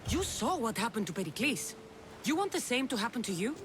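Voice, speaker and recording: A woman's voice: fairly high pitch, sing-song, a little fast, long reverb tail, background noise. An actress playing a fierce, grieving young Greek warrior woman in a dramatic video game cutscene. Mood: urgent.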